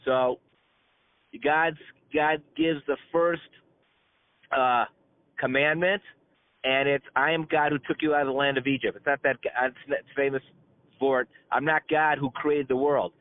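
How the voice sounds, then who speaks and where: A man's voice: medium pitch, steady tone, measured pace, pauses often. A man giving an informal religious class as a rabbi, telling stories conversationally with stammered repetitions and colloquial asides, over a conference call. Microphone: telephone conference call. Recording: tinny, narrow-band phone line.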